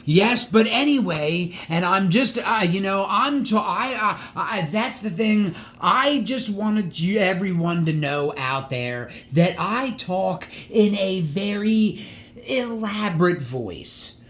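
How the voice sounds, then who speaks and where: A man's voice: high voice, animated, fairly bright tone. A man presenting his model car collection in a casual vlog, enthusiastic, with frequent restarts and a slight stutter. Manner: halting, repeats words and restarts often; stutters at times. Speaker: collector showing diecast models.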